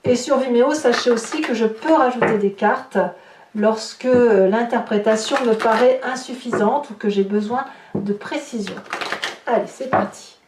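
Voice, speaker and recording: A woman's voice: on the high side, normal speed, darkish tone. A woman hosting an informal tarot reading, addressing viewers and thinking aloud in chatty, hesitant asides. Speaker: tarot reader, channel host. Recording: distant mic, roomy echo, noticeable hiss.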